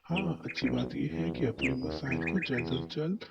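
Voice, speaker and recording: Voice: medium pitch, moderate pace, vocal fry. An actor voicing a brotherly animal friend in a children's moral cartoon. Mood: forgiving. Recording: dull audio under loud music.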